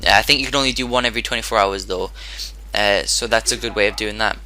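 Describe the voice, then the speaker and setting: A man with a hoarse, sore-throated voice giving casual commentary over his own gameplay, rambling and often restarting his sentences. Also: lowish voice, fairly even intonation, fast talker, noisy background. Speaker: gaming commentator.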